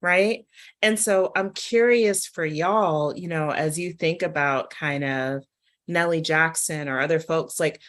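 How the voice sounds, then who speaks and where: A woman's voice: deep voice, a little slow, a bit bright. A woman hosting a panel, talking informally and addressing the group directly in a casual discussion style. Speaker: host and author leading a panel discussion.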